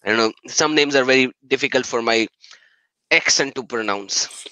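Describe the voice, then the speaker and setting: A man's voice: mid-range voice, normal speed, pushed and bright, no echo, poor audio. A man with a Pakistani accent hosting a live stream, reading viewer questions, joking and laughing. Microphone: video call.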